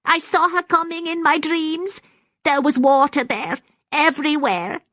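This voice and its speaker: High, rattling, addled old woman. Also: steady tone, a little slow, bright, forceful tone, dry recording, studio quality. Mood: frantic, ominous. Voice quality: rattling, quavering. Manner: dramatic, snivelling, childlike. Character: frightened, childlike, addled old Scottish clanswoman.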